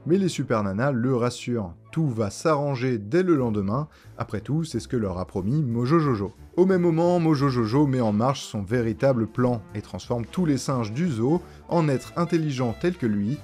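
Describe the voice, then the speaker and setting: A man's voice: medium pitch, animated, moderate pace, hardly any pauses, a little mellow, husky. A young man giving a scripted, irreverent recap commentary in a colloquial, sarcastic way, with music behind him. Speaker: video essayist narrator. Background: background music.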